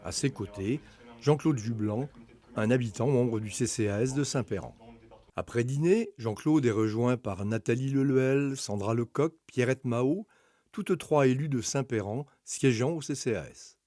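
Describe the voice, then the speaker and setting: A man reading scripted documentary narration in a measured, factual manner. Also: medium pitch, dark tone.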